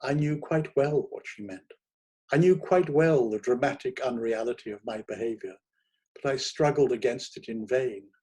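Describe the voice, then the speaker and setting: A man narrating a literary short story in a theatrical, expressive manner. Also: mid-range voice, normal speed, husky, poor audio. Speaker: reader of short stories.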